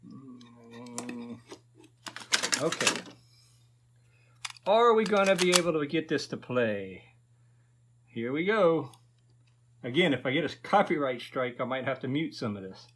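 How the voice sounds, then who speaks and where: Guy in his 50s doing a casual repair video, talks slow, kinda folksy, noisy background w clicks. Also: medium pitch, expressive. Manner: folksy, thinking aloud. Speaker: host of a repair video channel. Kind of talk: casual repair narration to viewers.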